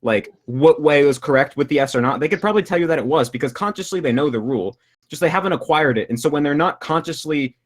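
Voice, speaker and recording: Young man explaining in a halting way. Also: fairly high pitch, lively intonation. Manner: explanatory, hesitant, many fillers and restarts. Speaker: language-learning video creator. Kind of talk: casual discussion. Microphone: video call.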